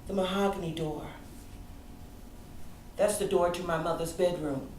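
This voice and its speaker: A woman performing a theatrical monologue as a grieving grown daughter, wounded and yearning, telling her story emotionally with deliberate dramatic pauses. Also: lowish voice, steady tone, darkish tone, lightly acted. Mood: wistful. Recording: echoey room, distant mic, faint noise.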